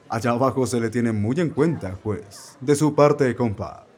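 A man's voice: medium pitch, a little mellow, breathy edge. A man with a Mexican accent voicing a sly, courtly devil in a comedy radio drama. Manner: sly, chuckling, drawn-out and courtly. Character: sly smooth-talking devil, male adult.

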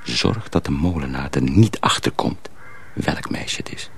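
Deep, gravelly, secretive mill hand. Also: male voice, lively intonation, full character voice. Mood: secretive, urgent. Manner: deliberate, hesitant, confiding, repeats himself. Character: sly young miller's apprentice who plays the simpleton, secretive, knowing. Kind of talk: radio drama acting. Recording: faint background noise.

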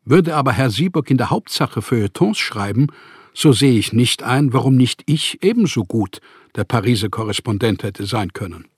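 An actor playing a proud, embittered male writer and journalist in a radio play, speaking formal letters with emphatic, declarative phrasing and a husky voice. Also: mid-range voice, animated, moderate pace, dry recording, studio quality. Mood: indignant, proud. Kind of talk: radio play acting, reading letters aloud.

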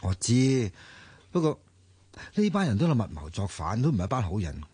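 A man's voice: lowish voice, big pitch swings, moderate pace, warm tone, slightly rough, dry recording, heavily acted. A man with a Hong Kong Cantonese accent, playing a hesitant young man who protests against posing as someone else in a radio drama. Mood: uneasy, defensive.

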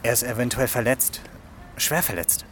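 An actor playing an earnest, brooding police investigator in a German radio crime drama, speaking thoughtfully and insistently. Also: male voice, medium pitch, normal speed, fairly bright tone, a little hiss or hum, lightly acted. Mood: earnest, careful.

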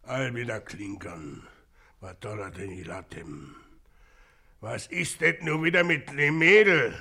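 A gruff, slow-witted father in a German radio drama. He grumbles in at an unhurried pace, with a gravelly, hard-edged voice. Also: medium pitch, animated, pushed and bright, exaggerated voice. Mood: surly. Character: grumpy, slow-witted, gruff working-class husband and father. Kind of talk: German radio play acting.

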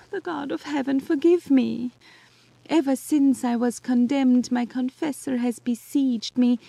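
A woman's voice: dark tone, no room sound. A woman voicing a condemned young servant woman who is weeping and pleading, in an audio drama. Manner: sobbing, pleading, gravelly low delivery.

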